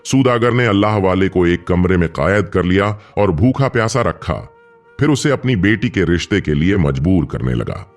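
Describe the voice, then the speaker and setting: A man's voice: low-pitched, animated, moderate pace. A man narrating a scripted, dramatic moral tale as a storyteller. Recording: music bed behind the narration. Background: background music.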